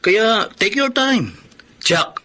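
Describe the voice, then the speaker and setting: Slow, animated young male friend. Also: sits high, exaggerated voice. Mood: reassuring. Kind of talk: Tagalog radio drama acting.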